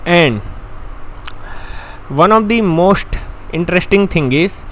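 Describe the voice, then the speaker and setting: A young man with an Indian accent, a native Hindi speaker, slowly walking through a software tutorial step by step. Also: high voice, slight background noise. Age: young adult. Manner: slow, step-by-step, excitable. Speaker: tutorial host. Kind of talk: screen-recorded software tutorial. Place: indoor room. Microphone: handheld foam-covered mic.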